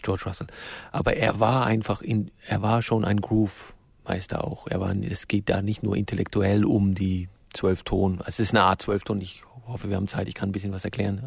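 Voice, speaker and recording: A man talking spontaneously as an expert guest, explaining in a halting way and often rephrasing himself. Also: lowish voice, gravelly, slightly rough, dry recording. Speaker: interview guest, music expert.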